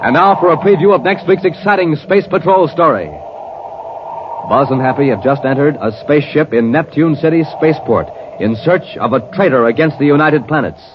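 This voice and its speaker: A man announcing on 1950s radio with an urgent, enthusiastic, exclamatory sales pitch aimed at children. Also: fairly quick. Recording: muffled old radio recording, faint hiss.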